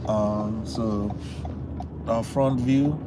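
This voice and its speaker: A man with a Kenyan accent hosting a live stream, talking casually as he drives, relaxed and rambling with plenty of fillers. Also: lowish voice, very slow. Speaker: livestream host. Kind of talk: casual live driving commentary. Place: inside a car on a highway. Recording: loud road and car noise.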